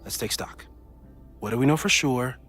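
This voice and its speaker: Slightly husky, geeky American man. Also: medium pitch, normal speed. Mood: reassuring. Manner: thoughtful, gently joking. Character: warm, geeky, reassuring young male physicist friend. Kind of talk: naturalistic video game acting. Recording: music under the voice. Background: game music.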